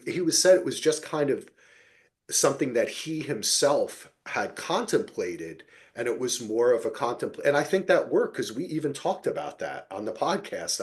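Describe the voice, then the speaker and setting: A man hosting a podcast, chatting casually about television in a rambling, animated way and swearing freely. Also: mid-range voice. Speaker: podcast host. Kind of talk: casual TV recap discussion.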